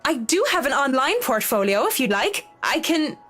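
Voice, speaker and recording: A woman's voice: high-pitched, sing-song, normal speed, a bit bright, faint music behind, exaggerated voice. An actress voicing a polite, obliging young professional woman in a video game. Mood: friendly, reassuring.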